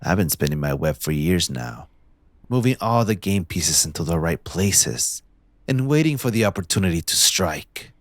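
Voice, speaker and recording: A man playing a possessive, smug young mafia heir in a scripted audio roleplay, speaking in a theatrical, condescending, deliberate villain's drawl. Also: low voice, big pitch swings, no room sound. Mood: scheming, superior.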